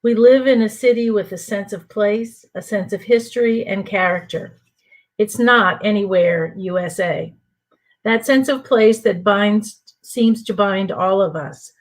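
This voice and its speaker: An older woman from New Orleans reads a passage from her own book. Her delivery is measured and deliberate, with a mid-range pitch. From New Orleans, USA. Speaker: author reading her book. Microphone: home computer mic for an online reading.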